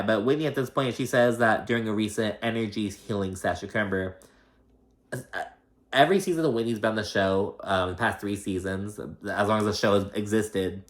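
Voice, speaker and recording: A man with a low voice and a slight lisp hosts a recap. He talks casually and gossipily, swears freely, and retells other people's exchanges in an animated way. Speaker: host of a reality TV recap show.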